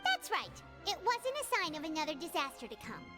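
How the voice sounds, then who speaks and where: Squeaky, extremely high fairy sidekick. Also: female voice, very animated, fairly quick. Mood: confirming. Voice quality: squeaky, childlike. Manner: bubbly, exclamatory. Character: tiny chirpy childlike female fairy companion, excitable. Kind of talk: video game voice acting. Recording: game music behind the voice.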